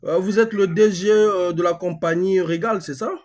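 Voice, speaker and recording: A man from Congo with a Congolese accent and a husky voice, speaking insistently as a live-stream host, repeating phrases and asking rhetorical questions. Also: high-pitched, steady tone, moderate pace, a little mellow. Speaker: online activist host. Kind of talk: live-stream monologue in colloquial speech, with a phone call.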